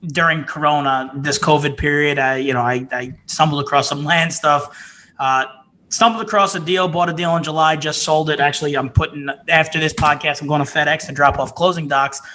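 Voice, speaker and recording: Male podcast co-host, bright tense voice, slightly higher pitch, rambling and hesitant. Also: fairly even intonation, fairly quick, slight background noise. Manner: rambling, hesitant, with many fillers. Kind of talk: casual podcast chat.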